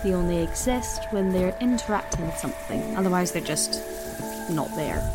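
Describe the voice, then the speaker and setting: An actress playing a pensive woman narrator, delivering a measured, introspective audio drama monologue. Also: on the low side, animated, loud music behind the voice, natural voice. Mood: reflective. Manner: reflective, measured. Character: pensive woman narrator musing on existence while trudging through snow.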